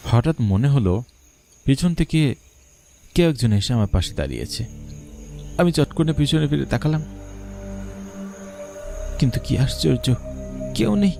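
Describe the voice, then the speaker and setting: A man's voice: mid-range voice, sing-song, a little slow, creaky, pauses often, mellow, faint music behind. A man narrating a scripted first-person horror story in a dramatic, suspenseful manner.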